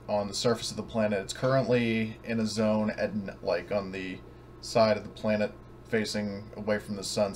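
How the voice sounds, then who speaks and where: Halting low-voiced male game master, noisy audio. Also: a little mellow. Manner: halting, rambling. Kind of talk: casual explaining of a tabletop game scenario. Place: online voice call.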